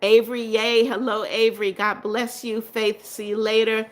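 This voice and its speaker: A woman's voice: a little high, flat delivery, moderate pace. A middle-aged woman hosting a casual livestream, reading out viewer comments and answering them. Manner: reads comments aloud and answers viewers warmly, laughs often. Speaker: livestream host.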